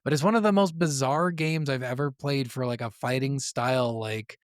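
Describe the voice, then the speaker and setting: A man's voice: mid-range voice, normal speed, no echo, studio quality. A man chatting casually as a podcast co-host about games, swearing freely as he goes. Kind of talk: casual gaming podcast chat.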